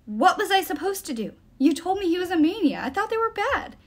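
A woman's voice: high-pitched, big pitch swings, fast talker, darkish tone, pitched above the performer's own voice. A woman voicing an anxious, impulsive teenage boy who pleads remorsefully in an audiobook story. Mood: defensive, indignant.

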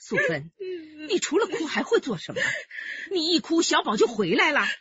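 Stern elderly matriarch, raspy and highly animated, commanding, dull radio-drama sound. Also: female voice, moderate pace, no echo, full character voice. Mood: scolding. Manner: sharp, reproachful, commanding. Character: stern, scolding grandmother, decisive family matriarch.

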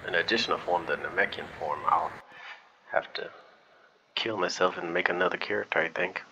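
A man giving casual, low-key, murmured commentary as host of a gameplay video. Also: brisk. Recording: muffled band-limited mic, faint noise.

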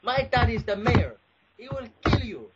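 A man's voice: extremely high pitch, very animated, moderate pace, heavy background noise. A man playing an angry, entitled, foul-mouthed young mayor's son on a prank phone call. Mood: angry, threatening. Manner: swearing, threatening, indignant. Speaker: prank caller.

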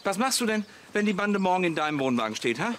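An actor in a German radio play playing an indignant, blustering campground ringleader with a pompous, combative manner. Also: male voice, high voice, animated, quick pace, background noise. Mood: alarmist, pompous.

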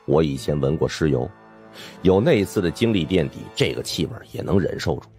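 Casting: male suspense audiobook narrator, deep pitch, dramatic lively intonation, soft music bed. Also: a little fast. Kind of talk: dramatic scripted narration of supernatural suspense fiction.

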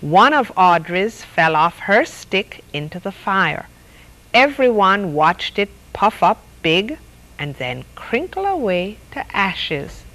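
A woman's voice: medium pitch, sing-song, slow, a bit bright, poor audio. A middle-aged woman reading a children's story aloud expressively, acting out the characters' lines as she goes. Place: studio set dressed as a reading nook with stuffed toys.

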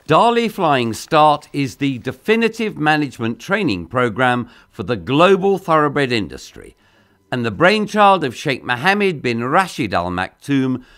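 A man giving scripted promotional narration in a polished, measured voice-over delivery. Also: mid-range voice, fairly bright tone.

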